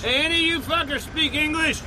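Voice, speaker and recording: An actor playing a brash, bossy American army sergeant with an American accent in a radio drama. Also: male voice, extremely high pitch, brisk, crowd noise. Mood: brash, commanding. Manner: bossy, loud, slangy. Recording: noisy outdoor street scene with vehicle.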